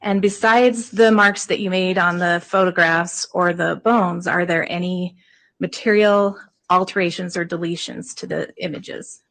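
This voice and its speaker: A woman prosecutor questioning a witness in a courtroom, soft-spoken and polite. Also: lowish voice, steady tone, unhurried.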